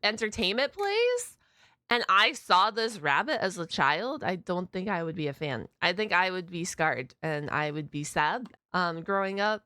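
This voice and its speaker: A woman with a bright, lively voice hosts a casual reaction. She thinks out loud in a halting, chatty way, her pitch moving freely. The sound is dry and close. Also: dry recording. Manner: hesitant, thinking aloud with frequent "like". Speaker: reaction video host.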